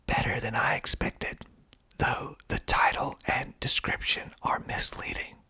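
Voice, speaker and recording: A man whispers in an ASMR reading style, very breathy and mostly without voice. His delivery is slow and deliberate, with a crackle of fry when the voice surfaces. He adds dry, deadpan asides in the same hushed tone. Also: high voice. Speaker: filmmaker and actor hosting an ASMR video.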